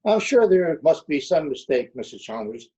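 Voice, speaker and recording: Casting: male actor as skeptical, composed official, soft-edged higher voice, vintage radio comedy, slightly dull audio. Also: very animated, moderate pace. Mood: measured. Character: measured, skeptical male official over the principal. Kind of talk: old-time radio comedy acting. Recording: dull remote video-call audio.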